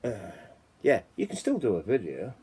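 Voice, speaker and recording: A man's voice: fairly low pitch, huge pitch swings, moderate pace, a little mellow, breathy edge. A man with a Yorkshire accent hosting a casual chat channel, reading out reports and rambling, often saying "you know what I mean". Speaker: channel host.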